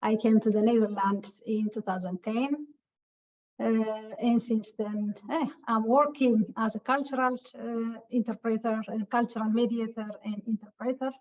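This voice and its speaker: A woman from Ethiopia giving a webinar talk over a video call, speaking haltingly with many filler sounds. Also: fairly high pitch, steady tone, a little mellow. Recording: muffled lo-fi call audio.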